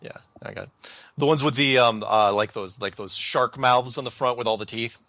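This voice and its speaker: A man's voice: medium pitch, normal speed, sharp tone, slight background noise. A man hosting a casual radio interview, talking in a joking, affirming way. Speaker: radio show host.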